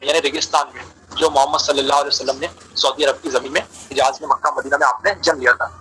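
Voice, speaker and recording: A man's voice: mid-range voice, steady tone, fast talker, bright, forceful tone, slight background noise, poor audio. A man giving a religious lecture on an online call, explaining terms and repeating phrases for emphasis. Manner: explanatory, translates key terms as he goes. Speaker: religious speaker answering questions.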